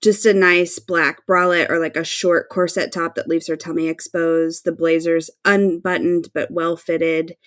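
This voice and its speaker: A woman with a slightly low voice chats as a podcast co-host. She is informal and enthusiastic, and is recorded close with very little room sound. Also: little pitch movement, unhurried, few pauses, dry recording. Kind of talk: casual fan discussion of an audio drama.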